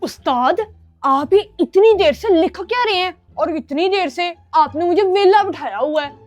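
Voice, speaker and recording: A woman's voice: very high-pitched, animated, faint music behind. A woman playing a naive, bumbling young apprentice devil in a comic skit, earnest and eager to please. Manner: obedient, slow-witted, earnest. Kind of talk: comic family skit acting.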